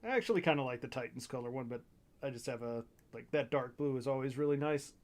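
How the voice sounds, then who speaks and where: A man's voice: mid-range voice, fast talker. A man chatting easily with friends about model kits, talkative about the details. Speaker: co-builder. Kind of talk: casual chat.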